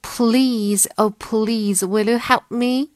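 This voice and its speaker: A woman's voice: fairly high pitch, fairly even intonation, extremely slow, dry recording, studio quality, pitched above the performer's own voice. A woman voicing a small, anxious male bunny in a children's storybook, plaintive and pleading with emphasis.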